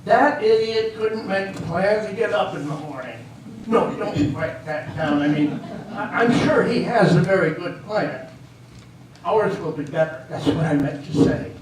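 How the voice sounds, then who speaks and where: A man's voice: sits high, expressive, measured pace, crowd noise. An amateur actor, a man, playing a defensive, self-important small-town politician in a stage reading, flustered and blustering. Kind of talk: amateur readers theater comedy acting. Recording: very echoey hall from far off, faint hiss.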